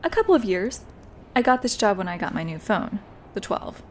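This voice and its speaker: A woman's voice: medium pitch, very animated, normal speed, background noise, close to an everyday voice. A woman acting as a polite, chatty office receptionist in an audio drama. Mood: polite, curious.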